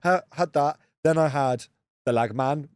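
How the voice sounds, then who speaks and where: A man co-hosting a podcast, telling stories in relaxed, slangy chat that often trails off softly. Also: fairly high pitch, a little slow, no echo, studio quality. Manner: laid-back, slangy storytelling, often trailing off quietly. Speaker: podcast co-host. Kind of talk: casual chat.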